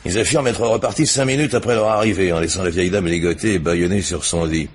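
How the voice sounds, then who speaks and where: Fast-talking, low-voiced police inspector. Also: male voice, husky. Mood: puzzled, reporting. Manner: curt, pressing questions. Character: blunt, impatient male police inspector, the commissioner's subordinate. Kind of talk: French radio crime drama acting.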